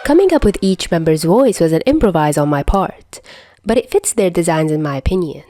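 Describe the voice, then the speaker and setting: Low-voiced woman narrating, dry sound. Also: animated, a little fast, mellow, dry recording. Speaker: podfic narrator. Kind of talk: fan fiction narration.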